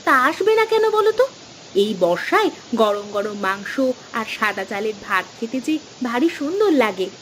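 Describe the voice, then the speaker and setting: A woman playing a caring, traditional village wife in a cartoon, with a brisk, fond, chiding manner. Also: on the high side, very animated, a bit bright, slight background noise, poor audio. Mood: curious. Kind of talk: cartoon voice acting.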